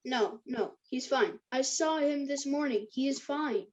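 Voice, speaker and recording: A woman's voice: high-pitched, measured pace, a little mellow. A woman playing a shaken teenage boy in denial in an amateur table read. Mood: disbelieving. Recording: dull muffled lo-fi recording.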